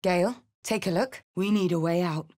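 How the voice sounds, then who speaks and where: A woman's voice: lowish voice, normal speed, a little mellow, lightly acted. A woman playing a tough, battle-scarred female warrior in a fantasy video game, blunt, wry and defiant in manner. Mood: observant, wry.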